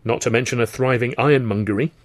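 A man's voice: medium pitch, fast talker, no echo, natural voice. Man voicing a breezy, chatty young woman dancer. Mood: wry. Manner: breezy, rambling, with tag questions. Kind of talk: acted audiobook dialogue.

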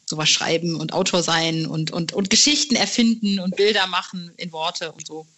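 A woman's voice: on the low side, normal speed, a bit bright, muffled recording. A middle-aged woman interviewing casually, with an informal, enthusiastic way of talking. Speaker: podcast interviewer.

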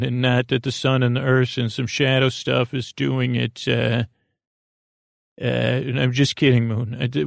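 Creaky-voiced man rambling gently through a bedtime podcast. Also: medium pitch, dry recording, studio quality. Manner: meandering, halting, whimsical. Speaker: podcast host.

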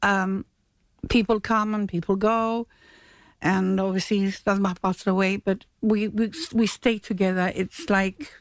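A woman's voice: mid-range voice, flat delivery, a little slow, dry recording. A woman chatting as a guest in a radio interview. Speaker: radio guest, stage manager. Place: radio studio.

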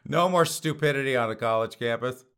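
A hoarse man hosting a show, reading news items and mocking them with frequent laughs. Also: mid-range voice, brisk, a bit bright. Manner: sarcastic, laughs often between phrases. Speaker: podcast host. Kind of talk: casual mocking commentary.

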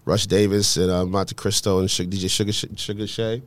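A man's voice: fairly low pitch, little pitch movement, brisk, dry recording. A middle-aged man, a podcast guest chatting and telling stories in slangy, conversational speech. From New York, USA. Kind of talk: casual chat, storytelling.

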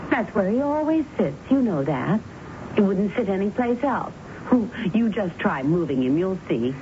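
A woman's voice: medium pitch, big pitch swings, moderate pace, mellow, lightly acted. An actress playing a fragile, devoted woman in a 1970s radio drama, with a plaintive, emotional delivery. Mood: doting, stubborn. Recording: dull, noisy old radio broadcast.

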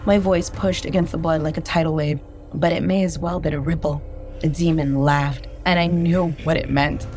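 Low-voiced woman narrating horror. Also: steady tone, normal speed, a little mellow, soft background music. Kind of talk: first-person horror narration.

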